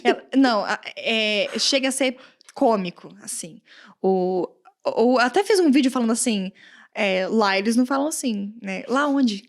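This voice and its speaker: A woman from Brazil with a countryside accent, a guest English teacher chatting casually on a podcast, animated, often laughing and acting out quoted comments. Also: fairly high pitch, moderate pace. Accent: Brazilian Portuguese, countryside.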